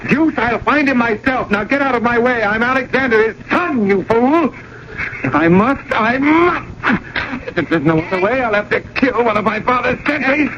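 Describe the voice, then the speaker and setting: An actor playing an imperious, hot-tempered young prince in a haughty, commanding radio drama style. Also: male voice, extremely high pitch, animated, normal speed, highs cut off, background noise. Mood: furious defiant. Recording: band-limited old radio broadcast.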